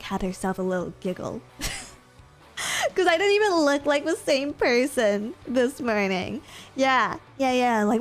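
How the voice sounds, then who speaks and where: A young woman streamer in casual chat with viewers, sniffly from allergies, rambling with lots of fillers and giggles. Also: mid-range voice.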